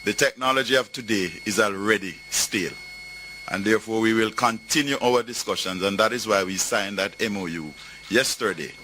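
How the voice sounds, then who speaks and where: Bright, lowish man addressing an event. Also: normal speed. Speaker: government minister. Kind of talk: formal public speech. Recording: faint noise and hum.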